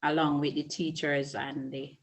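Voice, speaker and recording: A middle-aged Jamaican woman, a school principal, answering interview questions in a measured, earnest way with small restarts. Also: sits low, level tone. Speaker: early childhood school principal.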